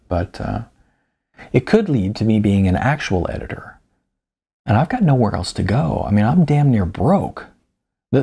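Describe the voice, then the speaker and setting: A man's voice: fairly low pitch, creaky, dark tone, husky. A man reading his own novel aloud as first-person audiobook narration.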